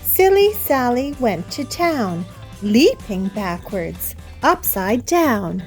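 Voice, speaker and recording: A woman hosting story time, reading a picture book aloud to young children in a deliberate, sing-song manner. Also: fairly high pitch, sing-song, darkish tone, soft background music. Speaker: children's storybook reader and host.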